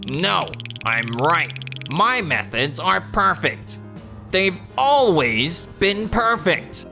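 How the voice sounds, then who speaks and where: A man's voice: high-pitched, very animated, unhurried, pushed and bright, faint music behind, full character voice. A man voicing a cocky, prideful young salesman in a manga dub, whiny and self-important. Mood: defiant. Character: cocky, prideful young male salesman, quick to deny his faults. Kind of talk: anime-style dubbed dialogue.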